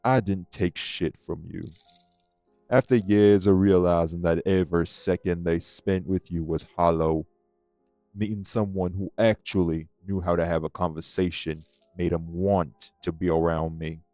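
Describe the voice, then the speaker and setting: A man acts out a rough old vampire with a drawl in an audio drama. His voice is deep and gravelly, recorded close and dry, and he speaks bluntly, taunting and defiant. Music plays behind him. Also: fairly even intonation, a little slow, mellow, no echo. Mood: defiant, scornful. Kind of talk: dramatic audio roleplay acting.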